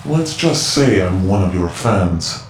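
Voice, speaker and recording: An actor playing a mysterious, evasive radio informant who speaks tersely over a radio transmission. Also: male voice, low-pitched, lively intonation, slow pace, roomy sound, noisy background, exaggerated voice. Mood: guarded.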